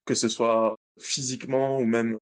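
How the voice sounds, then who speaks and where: A man in his late twenties with a French accent, a podcast guest telling his own story in a relaxed way, often restarting his sentences. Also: medium pitch, a little slow. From France, born in Madagascar. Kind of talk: interview.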